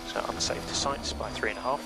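A man's voice: mid-range voice, quick pace, gravelly, over loud music. A man working as a race engineer, giving terse, procedural briefings full of numbers over team radio. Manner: clipped, procedural, relaying numbers. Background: vehicle noise and hum.

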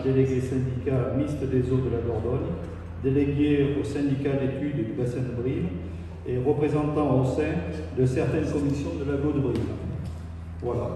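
Brief: mellow man, steady list of duties, far from the mic in a reverberant hall. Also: mid-range voice, a little hiss or hum. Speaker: deputy mayor. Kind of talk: welcome speech.

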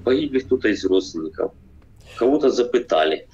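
A man's voice: medium pitch, animated, brisk, a little mellow. A man in his forties from Ukraine, talking from an armchair at home over a video call, hesitant and full of fillers. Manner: hesitant, many fillers, repeats himself. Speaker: video chat guest. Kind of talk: casual debate over video chat. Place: home room, seated in armchair. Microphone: webcam on video call. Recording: dull lo-fi video call audio.

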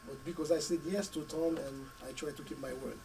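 A middle-aged man, hoarse from a cold, giving an earnest speech at a community meeting in short, paused phrases. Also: on the high side, fairly even intonation. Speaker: school committee member. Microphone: podium mic, camera at a distance. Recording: distant mic with faint room noise.

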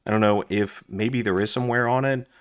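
A man who is hoarse and losing his voice, chatting casually with lots of fillers while he reviews his gear at a desk indoors. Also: fairly low pitch, fairly even intonation, moderate pace. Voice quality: raspy. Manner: chatty, rambling, with frequent fillers and restarts. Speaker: gear review channel host. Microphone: overhead camera on desk, hands only.

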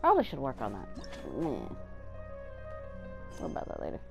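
A woman's voice: rumbling low, huge pitch swings, normal speed, gravelly. A woman streaming a game with casual, offhand chat, muttering to herself as she plays. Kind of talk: casual solo gameplay chat. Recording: game music behind the voice.

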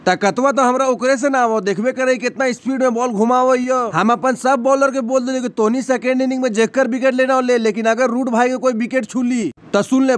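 A man's voice: very high voice, dry recording. A man dubbing comic lines over cricket press-conference clips, rambling and joking in a with a close mic. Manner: joking, rambling dialect banter. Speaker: comedy dubber.

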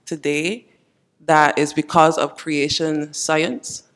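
A woman's voice: low-pitched, fairly even intonation, takes it slowly. A woman with a Barbadian accent asking a lecturer a question from the floor of a large hall, pressing for specifics. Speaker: audience member asking a question.

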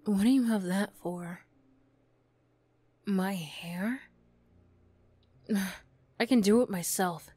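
Woman acting a slow, flustered young former bully. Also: mid-range voice, mellow, no room sound. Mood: grudging, soft. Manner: stammering, bashful, snappy. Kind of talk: ASMR roleplay acting.

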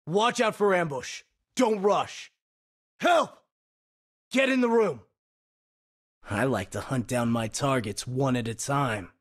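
A cocky bounty hunter, swinging pitch. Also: male voice, fairly bright tone, breathy edge, heavily acted. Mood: urgent, commanding. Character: confident, predatory male bounty hunter soldier. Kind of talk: video game voice lines.

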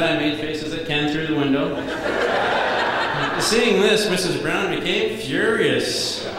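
A man in his thirties giving a best man's toast at a wedding reception, telling comic stories in a dry, deadpan way at a podium microphone. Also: fairly high pitch. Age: 30s. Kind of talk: wedding toast read from notes. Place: large banquet hall at a wedding reception. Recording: echoey banquet hall with reverb. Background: guests laughing.

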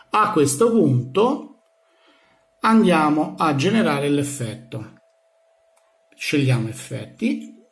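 A man giving an informal hands-on software tutorial, thinking aloud as he narrates each step. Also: fairly high pitch. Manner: thinks aloud, narrating each click with small verbal sound effects. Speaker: tutorial presenter. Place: indoors in a room. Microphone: handheld phone filming a laptop screen.

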